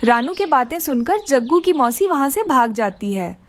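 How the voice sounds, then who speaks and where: High-pitched, animated woman storyteller. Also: normal speed, a little hiss or hum. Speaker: narrator. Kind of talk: scripted children's story narration.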